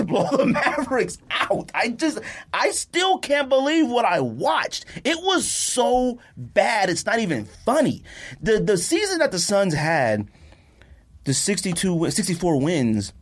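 Animated, husky American man ranting. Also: sits high. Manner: animated, emphatic, exasperated ranting. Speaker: sports and sneaker podcast host. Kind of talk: casual sports chat.

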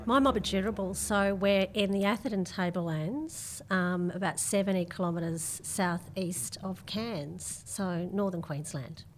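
An Australian woman speaks as a guest in a conversational interview. Her voice sits at a medium pitch, and her delivery is measured and reflective, like personal storytelling. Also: slight background noise. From Melbourne, Australia. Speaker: podcast guest.

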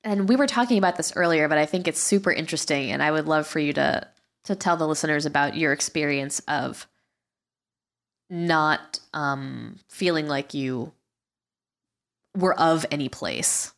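A woman with a slightly low voice hosts a casual podcast interview. She is inquisitive and talks conversationally, feeling her way through her phrasing. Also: normal speed, vocal fry, a bit bright. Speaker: podcast host.

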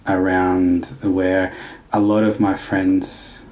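A man's voice: low-pitched, little pitch movement, takes it slowly, darkish tone, low-quality recording. A man from Australia who teaches dance, talking in a reflective casual conversation, building long winding sentences and searching for words.

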